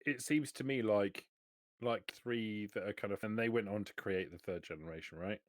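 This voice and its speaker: A man's voice: on the low side, normal speed, dry recording. A man chatting casually as a player in a tabletop roleplaying game, with a dry joking manner.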